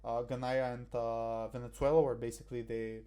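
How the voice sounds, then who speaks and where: Young guy explaining a debate case, mellow voice, lots of uhs and likes, headset mic. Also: mid-range voice, little pitch movement, a little fast. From Bulgaria. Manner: hesitant, many fillers and restarts. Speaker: debate coach. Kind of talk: explaining a debate motion over slides. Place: small indoor room.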